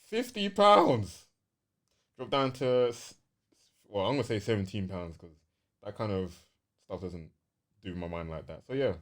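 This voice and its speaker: A man's voice: lowish voice, lively intonation, unhurried, pauses often, fairly bright tone. A man, explaining things loosely and casually as co-host of a gaming podcast.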